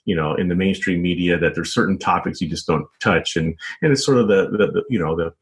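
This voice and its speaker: A man speaking as an interview guest, a journalist and author, answering conversationally in a wordy, halting way full of verbal padding. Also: deep voice, slight background noise. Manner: hesitant, wordy, frequent "you know" and "sort of".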